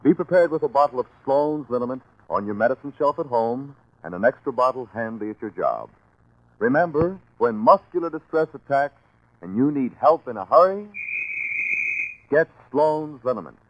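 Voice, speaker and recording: A man's voice: mid-range voice, animated, moderate pace, slight background noise. An American man announcing on 1940s radio in a scripted commercial delivery. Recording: dull, dry 1940s radio broadcast.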